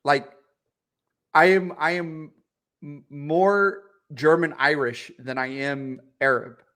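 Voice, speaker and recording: A young American man with a high voice co-hosting a comedy podcast. He talks emphatically and profanely, ranting in bursts. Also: fairly even intonation, slow pace, fairly bright tone. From United States. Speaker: podcast co-host. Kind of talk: casual comedic podcast banter.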